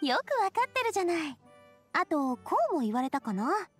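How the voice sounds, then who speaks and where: A woman's voice: high-pitched, big pitch swings, a little fast, a bit bright, soft background music. A woman playing a confident, cheerful young leader in an anime game, breezy and self-assured. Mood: upbeat, confident. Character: Navia, confident cheerful young woman, boss made fairytale king. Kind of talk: Japanese anime game voice acting.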